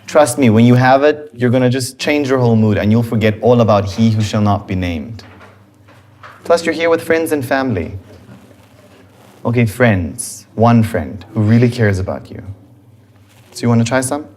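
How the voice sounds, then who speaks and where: A man's voice: medium pitch, lively intonation, moderate pace, a little mellow, lightly acted. A man acting as a goofy, eager young suitor in a TV sitcom, with a slangy, pleading manner. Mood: eager coaxing. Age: young adult.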